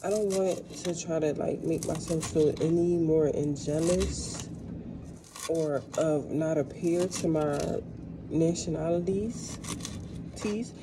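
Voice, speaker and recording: A woman's voice: low-pitched, takes it slowly. A woman from the United States vlogging in a casual, rambling storytelling way while she cooks, recorded without a dedicated microphone. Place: kitchen. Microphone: no dedicated microphone, built-in device mic. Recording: noisy kitchen room without a microphone.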